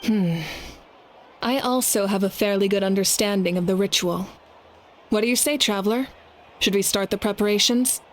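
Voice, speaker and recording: A woman playing a calm, knowledgeable and caring guide in a video game, speaking in a composed, considered way. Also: mid-range voice, moderate pace, a little hiss or hum, natural voice. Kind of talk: English video game voice acting. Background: faint game music.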